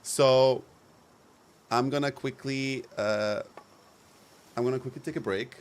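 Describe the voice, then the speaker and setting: A man's voice: medium pitch, steady tone, takes it slowly, slight background noise. A young man live-streaming a game, chatting casually in a campy, playful way and laughing often. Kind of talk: casual live-stream chat over gameplay.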